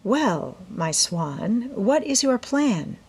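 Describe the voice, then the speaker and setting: A dignified mother from a classic storybook, voiced by a woman reader, practical and indulgent. She puts her questions in a measured, motherly way. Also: a little high, sing-song, darkish tone, husky, voice pushed up, heavily acted. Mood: fond, proud.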